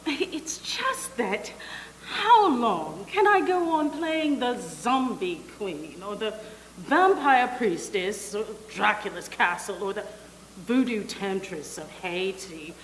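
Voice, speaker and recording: Embarrassed radio actress played by a woman, slow, hesitant, theatrical; reverberant hall with faint noise. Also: medium pitch, animated. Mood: flustered, pleading. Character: flustered, embarrassed radio actress typecast as monster women. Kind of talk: stage comedy about old-time radio.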